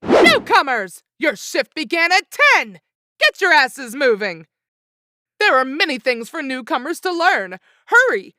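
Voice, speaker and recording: A woman playing a brash motel boss in an anime dub, brisk and pushy. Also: high voice, very animated, bright, forceful tone, no echo, clean studio sound, exaggerated voice. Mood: bossy, hurrying. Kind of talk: anime fan dub acting.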